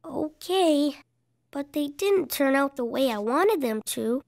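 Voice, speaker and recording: An actor voicing an earnest five-year-old raccoon boy in a children's cartoon, expressive, eager and easily discouraged. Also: sits high, takes it slowly, creaky, dry recording, studio quality, heavily acted. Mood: worried, downcast. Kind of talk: children's animated cartoon acting.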